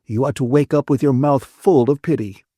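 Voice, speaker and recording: A man's voice: normal speed, warm tone, no room sound, clean studio sound, close to an everyday voice. An audiobook narrator voicing a teasing, wisecracking young man who lectures his friend in a playful, mocking way. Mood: bantering. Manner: mock-lecturing, bantering, high and lilting. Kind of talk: audiobook character voice.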